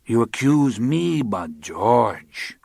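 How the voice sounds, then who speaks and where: A man voicing a sly, smooth-talking man who needles patiently in a soft, unhurried way. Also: mid-range voice, big pitch swings, no room sound, clean studio sound, exaggerated voice. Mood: smug.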